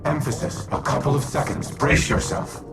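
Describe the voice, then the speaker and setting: A man voicing a grave, remorseful artificial war intelligence in a video game, speaking formally and with measured deliberation. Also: fairly high pitch, steady tone, very noisy, close to an everyday voice. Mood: wry, urgent. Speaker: military AI ally. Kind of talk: video game cutscene monologue. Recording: loud music behind the voice.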